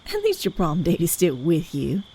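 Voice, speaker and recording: A woman's voice: fairly low pitch, wildly swooping pitch, normal speed, warm tone, slightly rough, heavily acted, poor audio. A woman acting a shy, self-doubting teenage girl in a period audio drama. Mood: shy, playful. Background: crickets and night insects.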